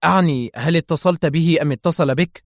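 A man's voice: medium pitch, brisk, dry recording, studio quality, lightly acted. A man voicing an insistent, suspicious general in a story, emphatic and urgent. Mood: suspicious, eager. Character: excitable, insistent male general, suspicious and forceful. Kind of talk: dramatised audio story dialogue.

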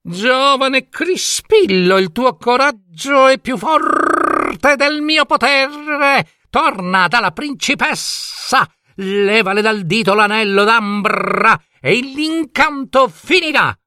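A squealing cowardly wizard. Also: male voice, lively intonation, slow, pushed and bright, no echo, studio quality, exaggerated voice. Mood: solemn. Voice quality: high strained squeal. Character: scheming male wizard, pleading and cowardly.

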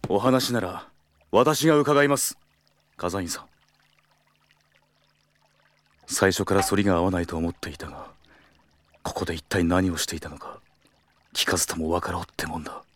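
A man acting a protective, hot-tempered senior colleague in an audio drama, firm and formal in confrontation, otherwise blunt and rough. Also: sits low, brisk, a bit bright, a little hiss or hum. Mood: cold anger.

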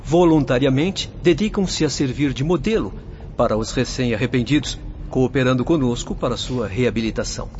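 A man's voice: medium pitch, normal speed, a little mellow, close to an everyday voice. Earnest colony official played by a man, muffled sound. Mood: earnest, informative. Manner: formal, declarative. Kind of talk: radio drama dialogue. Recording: dull lo-fi with faint noise.

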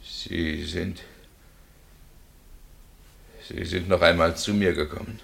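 A man's voice: low voice, flat delivery, slow pace, vocal fry, fairly bright tone, exaggerated voice. An actor playing a desperate condemned man who pleads his innocence with theatrical passion in a radio play. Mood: fearful, subdued. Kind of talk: 1960 German radio drama acting.

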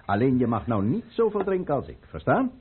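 An actor playing a proud, resolute conspirator count in a radio drama, with grave, commanding, theatrical delivery. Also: male voice, mid-range voice, wildly swooping pitch, a little fast, slight background noise. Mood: commanding, brooding. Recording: muffled, narrow-band old radio play recording.

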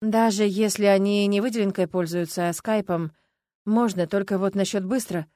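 A woman voicing a calm, wry male hacker who muses unhurriedly, in an audiobook. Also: mid-range voice, normal speed, dry recording, clean studio sound, lightly acted. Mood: musing.

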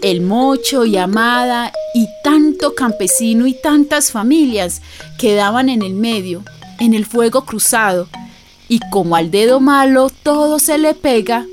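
A woman's voice: on the high side, lively intonation, measured pace. A woman giving dramatic, storytelling scripted narration for a radio drama. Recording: clear music bed behind.

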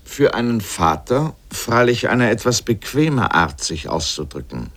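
A man's voice: fairly low pitch, very animated, unhurried, fairly bright tone. A man narrating a scripted literary radio essay as a commentator, with a sardonic, pointed manner.

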